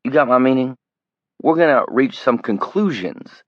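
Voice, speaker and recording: A man's voice: fairly low pitch, expressive, moderate pace, no room sound, highs cut off, pitched above the performer's own voice. A man playing a cruel, menacing middle-aged man who taunts and sneers. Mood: taunting menace.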